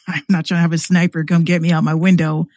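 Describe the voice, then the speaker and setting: An American woman chatting casually and candidly in a slangy, animated way, acting out exchanges as she tells stories. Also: on the low side, moderate pace, dry recording, clean studio sound. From New York City, US. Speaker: podcast guest, rapper and radio show host. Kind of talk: casual conversational storytelling.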